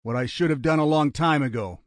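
A man's voice: a little high, brisk, dry recording, clean studio sound, voice pushed up, lightly acted. A man voicing a violent, foul-mouthed criminal with an aggressive, sneering delivery for an audiobook thriller. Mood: grim, menacing.

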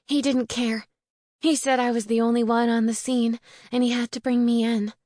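A woman's voice: a little high, level tone, normal speed, mellow, no room sound, studio quality, pitched above the performer's own voice, natural voice. A woman voicing a frightened, tearful, innocent young witch in an audiobook, earnest and anxious. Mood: upset.

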